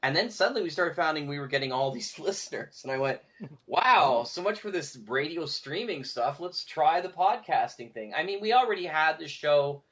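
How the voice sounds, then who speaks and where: A man's voice: fairly high pitch, lively intonation, moderate pace, a bit bright. A man speaking as a podcast guest, telling stories casually in a discursive conversational way. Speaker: podcast guest, audio drama producer.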